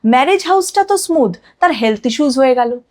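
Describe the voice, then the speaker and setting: Emphatic higher-voiced woman explaining. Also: lively intonation, fairly quick. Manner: emphatic, asks and answers own questions; mixes in English phrases. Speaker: astrologer, podcast guest. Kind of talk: explanatory podcast talk.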